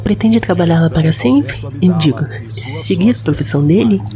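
A man's voice: high voice, animated, normal speed. A man plays a shy young Brazilian woman in a romantic radio soap, sweet and coy. The delivery is tender and smitten, on a dull lo-fi recording with faint music underneath. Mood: reflective. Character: shy, sweet young female student in love. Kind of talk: amateur radio soap opera acting.

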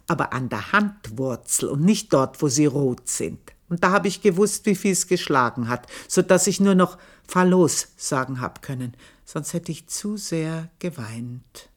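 An old maidservant, proud and bitter, with a fire still burning under every word. She speaks in a low register at a very slow, deliberate pace, sometimes sinking into a hushed whisper. This is an intimate radio play monologue recorded close to the microphone. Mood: brooding. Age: older. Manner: slow, intense, dropping at times to a hushed whisper. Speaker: old maidservant telling her life story.

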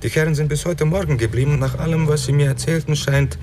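A man's voice: fairly high pitch, fast, noisy background, lightly acted. An actor playing a polite, formal middleman for shady creditors in a German radio crime drama, talking in roundabout phrases with hesitant asides. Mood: courteous, musing. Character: suave, courteous male go-between for shady creditors.

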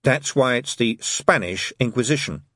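A man's voice: mid-range voice, animated, normal speed, a bit bright, no echo, clean studio sound. A man voicing a firm, self-important boy who leads a gang in an audiobook, speaking pompously. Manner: pompous, declaiming.

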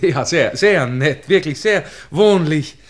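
A man's voice: fairly high pitch, expressive, takes it slowly. An actor playing a bossy, unsentimental young man in a 1960s radio play, jaunty and teasing, with a Viennese accent. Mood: teasing, impatient. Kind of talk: 1960s German-language radio play acting.

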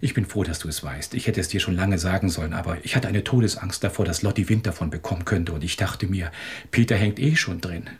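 Raspy, guilt-ridden married man. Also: low voice, fast talker. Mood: relieved, anxious. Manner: hesitant, apologetic, confiding. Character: guilt-ridden married man nervously confessing a secret affair. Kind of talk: German radio drama acting.

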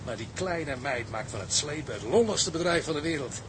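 An actor playing a cheerful, boastful, rough tugboat sailor in a Dutch period drama, talking in a jovial, colloquial way. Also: male voice, on the high side, lively intonation, brisk, noisy background, lightly acted. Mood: enthusiastic.

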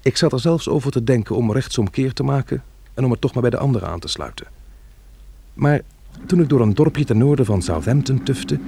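A man acting in a Dutch radio drama as a kind, protective survivor, with a gentle and reassuring manner. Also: mid-range voice, brisk, gravelly, breathy edge. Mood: weary, reflective. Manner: gentle, reassuring toward a child. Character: kind, protective male survivor, lonely narrator of a catastrophe.

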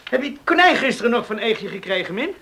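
An actor playing an easygoing, evasive young farm son, eager to placate, in a Dutch radio play. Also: male voice, very high voice, sing-song, fast, sharp tone, breathy edge, full character voice. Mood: breezy. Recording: noisy old radio play recording.

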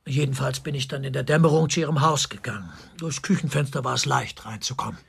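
An actor playing a defensive, tormented army officer under interrogation in a German radio crime drama, with a husky, gravelly voice. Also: male voice, mid-range voice, fast, a bit bright, exaggerated voice. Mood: bitter, troubled. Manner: agitated, swinging between indignant outbursts and subdued confession. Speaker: suspect, military governor.

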